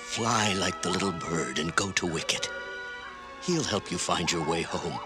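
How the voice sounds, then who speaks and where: An actor playing a wounded, tender father, speaking gently through pain. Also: deep voice, measured pace, loud music behind the voice. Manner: pained, gentle. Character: wounded, tender father soothing his small child.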